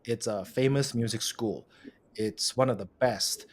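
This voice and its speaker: A man's voice: mid-range voice, unhurried. Inquisitive man hosting a chat. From Malaysia. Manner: conversational and inquisitive, follows up with questions. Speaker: podcast host. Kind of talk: casual interview conversation.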